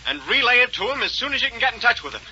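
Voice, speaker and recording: Heroic space captain, high male voice, very animated, decisive, muffled old radio. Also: very fast, full character voice. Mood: urgent, brisk. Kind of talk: 1930s radio serial acting. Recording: muffled 1930s radio recording, faint noise.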